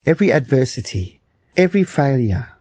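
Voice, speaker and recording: A man's voice: mid-range voice, big pitch swings, a little fast, mellow, dry recording. A man reading aloud in a motivational style, recorded with lo-fi sound. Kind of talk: read-aloud motivational quotation. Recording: dull, lo-fi, dry recording.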